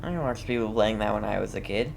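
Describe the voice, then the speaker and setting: A man's voice: lowish voice, quick pace, vocal fry, background noise. A man giving casual let's play commentary with dry, offhand reactions. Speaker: gamer playing through a video game.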